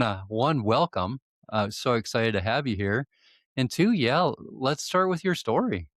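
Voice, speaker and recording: A man's voice: mid-range voice, no echo, clean studio sound. A man hosting a podcast, eagerly introducing his guest in an upbeat way. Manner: eager, upbeat, laughs along. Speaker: podcast host. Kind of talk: interview introduction.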